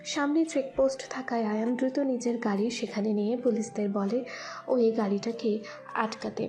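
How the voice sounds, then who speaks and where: Female story narrator, fairly high voice, scripted delivery for romantic audio drama, light music bed. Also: darkish tone. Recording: music behind the voice.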